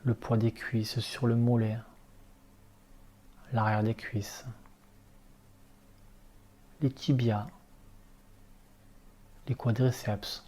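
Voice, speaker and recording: A man leading a guided relaxation meditation as an instructor, speaking calmly in short, unhurried instructions. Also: medium pitch. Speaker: yoga nidra relaxation instructor.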